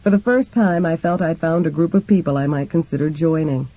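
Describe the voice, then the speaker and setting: A man's voice: on the high side, fairly quick. A man reading a memoir aloud as scripted narration, in a dull lo-fi recording. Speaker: reader of a book.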